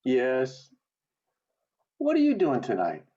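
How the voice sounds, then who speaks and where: A man playing a restless, eager young small-town man with big dreams in an amateur radio play, earnest and expressive. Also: mid-range voice, slow. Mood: eager, romantic. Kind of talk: community theatre radio play acting. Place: remote video call. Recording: dull lo-fi video call audio.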